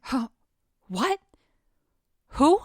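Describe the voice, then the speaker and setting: Woman playing a poised, slow-spoken wounded heiress. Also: high voice, big pitch swings, background noise, exaggerated voice. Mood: dazed. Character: poised, steely injured society heiress in her city's war. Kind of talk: audio drama acting.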